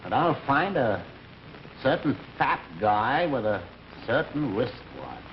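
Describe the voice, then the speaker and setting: An actor with an American accent playing a weary, brooding police detective, terse and frustrated, in old-time radio drama style. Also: male voice, medium pitch, very animated, slow, vocal fry, heavily acted. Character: weary, brooding male police detective, obsessed with his case. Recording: dull, noisy old radio recording.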